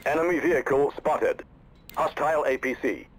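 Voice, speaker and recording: An actor playing a terse, husky soldier in a video game, reporting enemy sightings. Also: male voice, on the high side, moderate pace, close to an everyday voice. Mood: alert. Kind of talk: game radio callouts.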